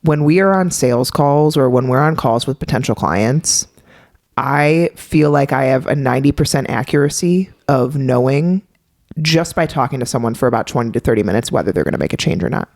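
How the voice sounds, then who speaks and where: A young adult woman co-hosting a health podcast, talking in a chatty, quick way with frequent laughs. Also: extremely low pitch, darkish tone, no echo, clean studio sound. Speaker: podcast co-host, health coach. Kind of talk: casual podcast chat.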